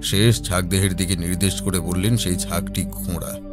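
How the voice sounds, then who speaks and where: Horror audio drama: troubled, pleading husband, acted by a man, low-pitched, over loud atmospheric music. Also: fairly even intonation, moderate pace, lightly acted. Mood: grave, haunted. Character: Gopinath, distraught pleading husband recounting village deaths. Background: loud new-age music.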